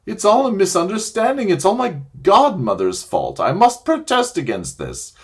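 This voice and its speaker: Male reader as a cowardly elderly gentleman; trembling, high, whining, swinging in pitch. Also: huge pitch swings, moderate pace, husky, full character voice. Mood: wailing self-pity. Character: fussy, cowardly old gentleman, trembling and wailing.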